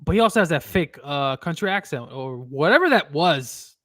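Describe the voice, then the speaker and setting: A man's voice: a little high, expressive, moderate pace, sharp tone. A man co-hosting a casual football picks show, loose and filler-heavy, laughing along. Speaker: sports picks co-host. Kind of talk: casual sports betting chat.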